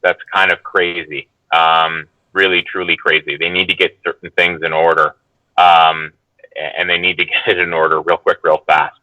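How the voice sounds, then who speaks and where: Deep voiced guy chatting as a guest, crisp edge to it, dry sound, keeps hesitating and hedging. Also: level tone, sharp tone, no echo, low-quality recording. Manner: halting, hesitant, qualifies his statements. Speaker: guest commentator. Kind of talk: casual, unscripted discussion.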